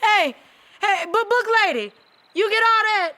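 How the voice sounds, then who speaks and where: Brash high-voiced mechanic. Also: female voice, expressive, unhurried, bright, forceful tone, a little hiss or hum, heavily acted. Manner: teasing, slangy. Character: brash, cheeky working-class woman mechanic, fun-loving and blunt. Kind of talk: audio drama acting.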